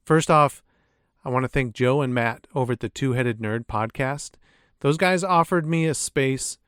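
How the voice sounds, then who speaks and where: A man's voice: medium pitch, dry recording, studio quality. A man hosting a podcast, reading a scripted introduction with casual asides in a theatrical, playful storyteller's phrasing. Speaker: podcast host.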